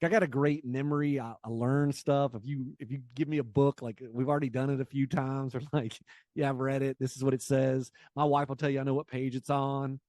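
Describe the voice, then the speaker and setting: A man in his late forties talking casually as an interview guest, telling things in an energetic way and voicing quoted exchanges. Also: medium pitch, a little fast, no echo. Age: late 40s. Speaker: guest, business coach and sales trainer.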